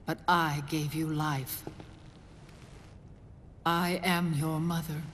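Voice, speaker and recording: An actress playing an older woman who leads a resistance group, speaking in a soft, measured, deliberate way in a game cutscene. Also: sits low, flat delivery, noisy background. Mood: solemn. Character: older woman, calm mysterious rebel leader. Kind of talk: video game cutscene acting. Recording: reverberant game cutscene with music.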